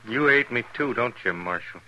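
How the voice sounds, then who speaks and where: A man's voice: mid-range voice, lively intonation, normal speed, bright, forceful tone. A man playing a weary, defiant army scout on the run in a 1950s radio western, terse and brooding, with rustic speech. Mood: guarded, defiant. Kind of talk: 1950s radio drama acting. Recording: noisy vintage 1950s radio broadcast recording.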